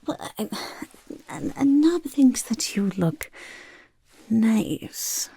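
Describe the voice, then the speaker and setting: A woman acts out a chaotic little goblin overlord for an ASMR roleplay. The voice is husky and often whispered, unhurried yet swooping up and down. The goblin fusses and bosses eagerly, repeating words. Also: fairly high pitch, expressive, dark tone, no echo, exaggerated voice. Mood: fussy, eager. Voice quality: raspy, creaky whisper. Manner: speaks of self in third person, fussy, repeats words. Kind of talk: soft-spoken ASMR personal attention roleplay.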